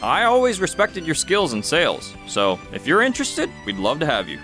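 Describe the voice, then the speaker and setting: A man's voice: a little high, huge pitch swings, moderate pace, pushed and bright, faint music behind, exaggerated voice. A man playing a kindly, upbeat young entrepreneur in a manga dub, friendly and encouraging. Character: upbeat, kindly young male entrepreneur telling his story. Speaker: narrator and lead character in dub.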